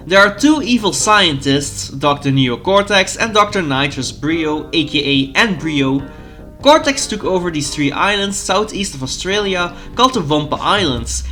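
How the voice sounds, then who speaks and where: A man's voice: high-pitched, bright, forceful tone. A man in his twenties whose first language is Dutch, eagerly hosting a retro game commentary. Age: 20s. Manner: eager, fan-like. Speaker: YouTube host.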